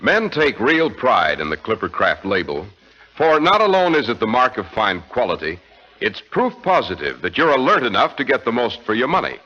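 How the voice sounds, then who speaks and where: A man's voice: mid-range voice, sing-song, normal speed, bright, forceful tone. A man with an American accent announcing ads and narration in 1940s radio style. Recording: muffled 1940s radio recording.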